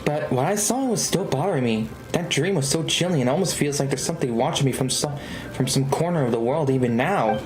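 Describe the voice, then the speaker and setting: A man's voice: mid-range voice, brisk, a little mellow, soft background music, low-quality recording. A man live streaming a video game, reading dialogue aloud and reacting casually with exclamations.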